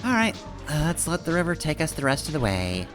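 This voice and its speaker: A man's voice: on the high side, wildly swooping pitch, a little fast. A man streaming a video game, giving casual, rambling commentary in a wry, playful way as he muses to himself. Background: video game music.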